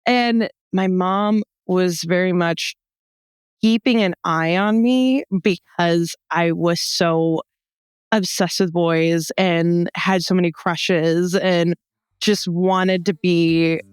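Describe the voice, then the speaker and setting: A young American woman with a slightly low, clean voice, recorded close and dry. She talks like a podcast guest in casual chat, animated and joking as she spins anecdotes and slips into quoted dialogue. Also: slow, smooth, no echo. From Texas, United States. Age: young adult. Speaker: podcast guest, comedy show founder.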